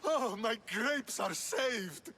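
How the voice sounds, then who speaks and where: Fretful grape farmer from a game, high bright voice, super animated. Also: male voice, drawn-out words, slight background noise, full character voice. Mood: relieved. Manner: flustered, stammering, anxious. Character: fretful, self-interested grape farm owner. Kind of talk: video game cutscene acting.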